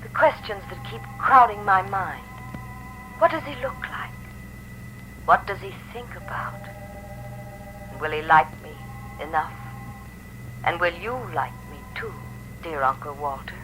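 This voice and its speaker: An actress voicing a shy, hopeful young woman reading her letter aloud in a 1950s radio drama, with a soft, breathy delivery. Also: on the low side, measured pace, pauses often, over music, close to an everyday voice, poor audio. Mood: wistful.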